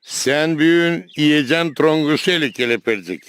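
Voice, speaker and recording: A man's voice: a little high, moderate pace, fairly bright tone, slight background noise, lightly acted. A man with a Gagauz accent playing a kindly, instructive grandfather in a children's cartoon. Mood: brisk, teasing.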